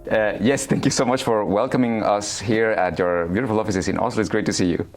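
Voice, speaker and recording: Polite low-voiced male interviewer. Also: steady tone, a little fast, over music. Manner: polite, encouraging. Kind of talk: interview questions and backchannel.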